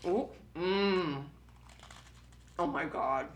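A woman's voice: fairly low pitch, a little hiss or hum. A woman co-hosting a podcast, chatting casually and giggling as she tastes snacks with a friend. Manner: chatty, giggly, quick asides. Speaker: podcast co-host. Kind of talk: casual snack-tasting chat. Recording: roomy echo.

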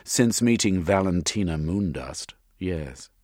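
A man voicing an earnest, decent British gentleman in an audiobook, with proper, old-fashioned upper-class phrasing. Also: low voice, expressive, takes it slowly, no echo, clean studio sound, heavily acted. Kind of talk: audiobook character voice.

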